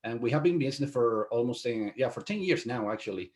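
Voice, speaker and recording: A middle-aged man from Venezuela with a Spanish accent, a guest CEO answering interview questions in a halting way full of fillers and restarts. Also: medium pitch, expressive, darkish tone.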